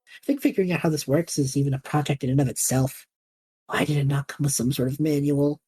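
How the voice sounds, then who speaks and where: Low, very husky, laid-back young student adventurer played by a woman. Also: quick pace, warm tone. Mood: curious, casual. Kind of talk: fantasy comedy audio drama acting.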